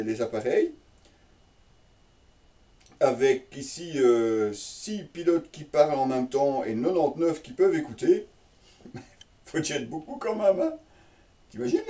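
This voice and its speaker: A man hosting a casual, unscripted product presentation, chatty and tongue-in-cheek, with a halting delivery that repeats words. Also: a little high, mellow, recorded from a distance. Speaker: host of a motorcycle gear unboxing channel.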